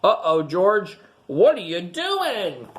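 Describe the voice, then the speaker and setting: Kindly, lively storybook man, as a reader voices him. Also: medium pitch, takes it slowly, pitched above the performer's own voice. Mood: concerned surprise. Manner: encouraging, exclaiming. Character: kindly man, gentle friend and guardian of a monkey.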